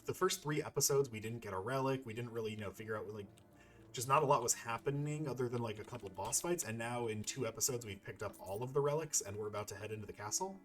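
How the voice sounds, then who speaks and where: A man hosting a gaming video with casual let's play commentary, rambling a little as he thinks aloud. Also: medium pitch.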